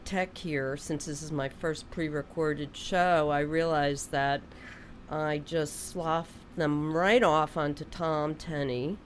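A woman's voice: low-pitched, fairly even intonation, takes it slowly, a little hiss or hum. A woman hosting a radio interview in a studio, chatty and rambling, often restarting her sentences. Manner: rambling, chatty, self-deprecating, with frequent restarts. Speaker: radio host. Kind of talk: casual interview chat.

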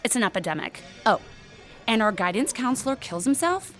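A woman playing a blunt, gossipy high school girl in an audio drama, halting, conversational and sardonic. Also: big pitch swings, faint music behind.

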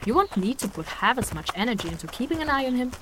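A woman's voice: a little high, very animated, quick pace. Whiny sarcastic female traveler, really swoopy delivery, fantasy audio drama, noisy ambience behind. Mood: wry. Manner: whiny, grumbling, sardonic. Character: cranky, hungry human woman, sarcastic reluctant adventurer. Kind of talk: audio drama acting, casual modern slang.

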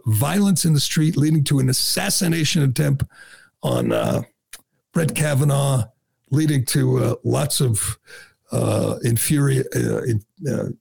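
A man's voice: medium pitch, creaky, darkish tone, slightly rough. A middle-aged man hosting a talk radio show, delivering a sarcastic, mocking monologue full of "uh" fillers and restarts.

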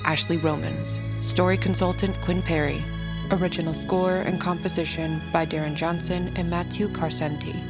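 Low-pitched woman reading scripted credits. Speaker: credits announcer.

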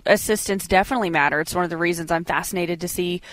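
A woman's voice: fairly low pitch, steady tone, rapid-fire, a bit bright, no room sound. American woman co-hosting dry-studio sports radio. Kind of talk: conversational sports talk.

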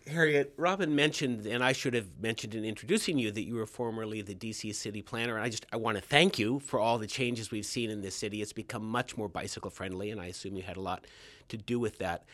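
A man's voice: on the low side, fast, a bit bright. A man hosting an interview, putting long, courteous questions to a guest in a conversational way. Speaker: interviewer, host.

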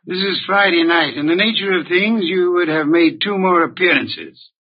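Muffled vintage radio audio carries an imperious male detective genius. His slightly high, husky voice is pompous and precise, grumbling in formal, curt sentences. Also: moderate pace. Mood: stern, commanding. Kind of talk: old-time radio drama acting.

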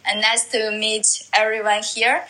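A woman's voice: on the high side, flat delivery, a little slow, sharp tone, low-quality recording. A woman introducing herself cheerfully on an online audio chat.

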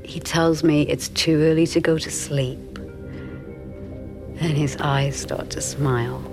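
A slow talking wife in her 40s, shy intimate confession, audio drama w/ music under it. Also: sits low, steady tone. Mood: intimate, aroused. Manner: hesitant, confiding, self-conscious. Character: nervous, wistful married woman in her forties confessing a fantasy.

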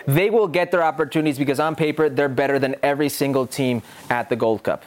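A man's voice: medium pitch, fast. A man co-hosting a sports talk show, a former forward, explaining with assurance. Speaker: podcast co-host, former forward.

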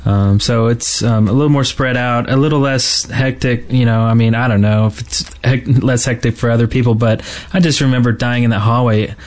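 A man's voice: medium pitch, fairly even intonation, fairly quick, few pauses, a little mellow. A man co-hosting a casual gaming podcast, talking in a hesitant, rambling way with trailing thoughts. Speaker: podcast co-host.

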